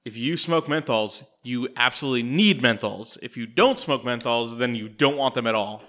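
A man's voice: mid-range voice, normal speed, pushed and bright. A man in a casual podcast chat who often jumps in with comments. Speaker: podcast co-host.

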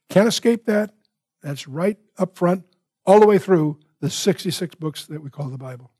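A man teaching from the Bible in an expository style, with emphatic, deliberate phrasing and off-the-cuff asides. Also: high voice, mellow, no room sound, studio quality.

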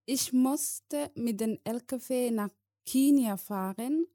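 A woman in her twenties from Somalia, a guest telling her own story slowly and carefully, with small hesitations. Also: on the high side, mellow, no echo, studio quality. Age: 20s. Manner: slow, careful, plain sentences with hesitations. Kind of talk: personal testimony in a podcast interview.

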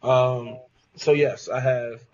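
A man's voice: mid-range voice, steady tone, slow pace. A man with a mid-range voice co-hosting a podcast in a laid-back, wisecracking way. He tosses in jokes as he chats. The sound is a little dull, with faint noise behind him. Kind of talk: casual chat.

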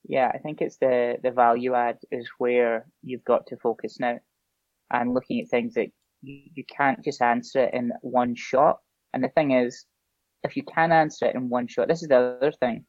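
A man's voice: mid-range voice, level tone, normal speed, no room sound. Scottish man in a dull, dry recording. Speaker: SEO guest. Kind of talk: casual podcast chat. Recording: dull lo-fi podcast audio.

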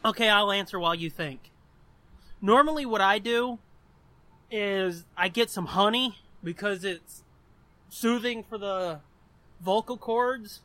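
High-pitched, bright-voiced sweary man. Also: measured pace, long pauses, dry recording. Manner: sweary, joking, blunt. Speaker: podcast co-host. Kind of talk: casual banter, answering listener questions.

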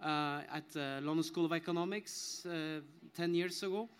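Male academic panelist, slightly high voice, explaining in a halting, self-correcting way. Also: clear, resonant tone. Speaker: author and academic guest.

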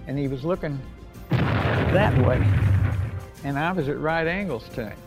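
A man's voice: fairly high pitch, unhurried. An older American man, a war veteran, recounting his memories in a folksy, plain-spoken way. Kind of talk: unscripted oral-history reminiscence. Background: music bed.

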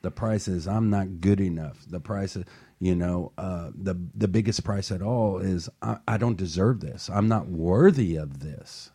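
Deep mellow guy hosting a motivational talk show, gets fired up and earnest. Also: a little slow, husky. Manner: earnest, rising to passionate emphasis. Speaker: talk show host and life coach.

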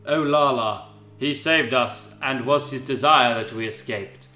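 A man's voice: mid-range voice, a little slow, sharp tone, voice pushed down. A man acts out a solemn, protective male wizard in a mock-dramatic storybook tale. His delivery is grave, theatrical and drawn out, with a roomy echo around it and music underneath. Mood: grave, consoling.

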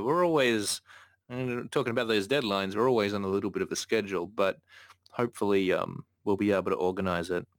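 A man's voice: fairly low pitch, no echo, clean studio sound. A man hosting a casual podcast, talking earnestly with frequent self-corrections. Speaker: podcast host.

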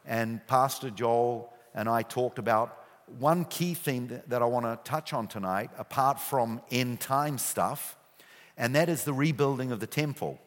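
A man's voice: mid-range voice, unhurried. New Zealand man speaking in a church. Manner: jokey, relaxed storytelling with frequent fillers. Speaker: guest speaker. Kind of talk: church talk. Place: church hall. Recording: reverberant church hall.

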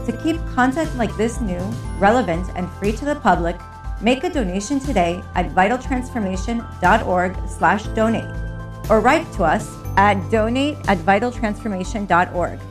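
Woman announcer, mid pitch, polished scripted outro over clear music. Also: lively intonation, normal speed. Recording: music bed underneath.

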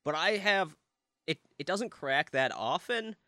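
Lively high-voiced young man joking. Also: unhurried, dry recording, clean studio sound. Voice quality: high, nasal little-brother voice. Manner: animated, joking, swears freely. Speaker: podcast co-host. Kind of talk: casual comedic chat.

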